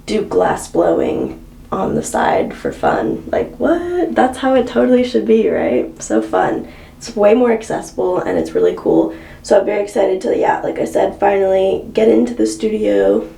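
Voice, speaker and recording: A young woman from Canada chatting excitedly in a casual vlog, full of "like" and quick asides. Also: medium pitch, creaky. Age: young adult. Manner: bubbly, fillers with like. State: stuffed up from a cold. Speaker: vlogger.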